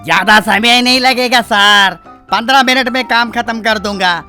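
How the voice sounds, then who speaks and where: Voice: mid-range voice, normal speed, sharp tone, soft background music, lightly acted. A storyteller voicing an eager male village barber with a coaxing salesman's manner in a comic cartoon story.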